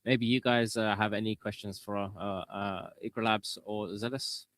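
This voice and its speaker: Lower-voiced man reading questions. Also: steady tone, moderate pace, fairly bright tone. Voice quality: hesitant, filler-heavy. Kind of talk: casual live-stream moderation.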